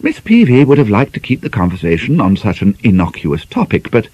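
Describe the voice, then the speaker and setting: A man's voice: on the low side, wildly swooping pitch, brisk, darkish tone, husky, dry recording. A man reading a novel as a scripted audiobook narration. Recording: slightly dull, band-limited audio.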